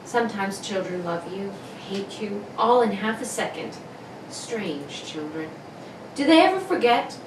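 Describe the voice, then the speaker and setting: A woman's voice: mid-range voice, expressive, a little slow, close to an everyday voice. A woman playing a doting, fretful 1950s suburban mother in an amateur radio play reading. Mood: brooding. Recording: roomy, distant mic, clear background noise.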